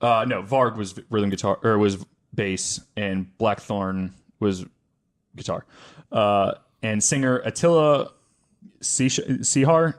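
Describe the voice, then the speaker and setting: A man hosting a podcast, telling a story casually from notes and often correcting himself as he goes. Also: fairly low pitch. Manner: halting, self-correcting as he reads names. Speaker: podcast host.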